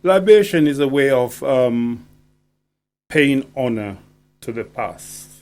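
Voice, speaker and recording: A man talking as a poet guest on radio, deliberate and emphatic, often checking he is understood. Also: mid-range voice, expressive, darkish tone. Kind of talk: conversational radio interview.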